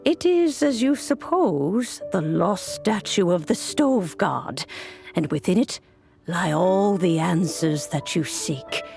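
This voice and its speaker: An actress playing a wise, kindly ancient female guardian in a fantasy game, speaking in a gentle, measured, formal and reflective way. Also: on the low side, vocal fry, full character voice. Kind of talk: scripted fantasy game dialogue.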